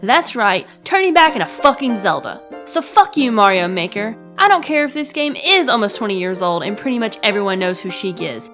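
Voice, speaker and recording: A young woman hosts a comedic gaming series in a scripted, diary-style narration. Her delivery is sarcastic and emphatic, with lively swings in pitch around an average register. Music plays behind her voice. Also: brisk, few pauses.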